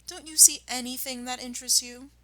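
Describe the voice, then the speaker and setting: A woman voicing a confident father fox in a storybook, smooth and commanding. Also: sits high, fairly even intonation, brisk, pushed and bright, pitched above the performer's own voice. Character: suave, confident father fox leading his band.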